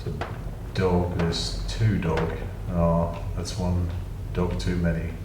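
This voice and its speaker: A man's voice: deep voice, completely flat delivery, slow pace. A man with a British accent giving casual, rambling gameplay commentary with dry, self-mocking asides. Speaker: gaming channel host. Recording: echoey room, distant mic, noisy.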